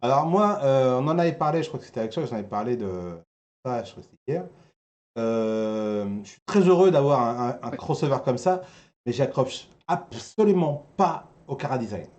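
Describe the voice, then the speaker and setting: A man's voice: medium pitch, animated, moderate pace, darkish tone, highs cut off. A man hosting a casual panel chat about geek culture news. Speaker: host.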